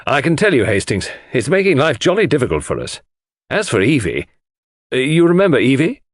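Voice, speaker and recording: A man voicing an exasperated, outspoken English country gentleman with slangy upper-class talk in an audiobook. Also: mid-range voice, huge pitch swings, fairly quick, dry recording, clean studio sound, voice pushed up. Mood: indignant. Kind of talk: audiobook character dialogue.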